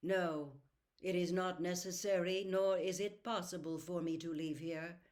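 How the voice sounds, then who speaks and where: A cold, aloof mastermind from a storybook, a man voiced by a woman reader. He speaks formally and dismissively, with imperious control and a slightly low pitch for her sex. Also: steady tone, measured pace, darkish tone, close to an everyday voice. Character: cold, aloof red-eyed male villain, controlling mastermind. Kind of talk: audiobook character voice.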